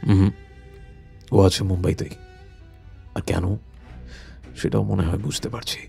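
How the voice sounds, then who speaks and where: A man playing a grave, cautious senior intelligence boss in an audio drama, speaking with measured authority and a gravelly voice. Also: low-pitched, steady tone, dark tone, exaggerated voice. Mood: grave concern. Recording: background music under the voice.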